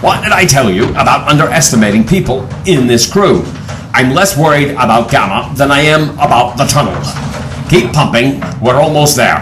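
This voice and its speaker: A man's voice: medium pitch, expressive, moderate pace, noisy background, close to an everyday voice. A man playing a commanding, shrewd leader of an adventure team in an audio drama, authoritative and dramatic.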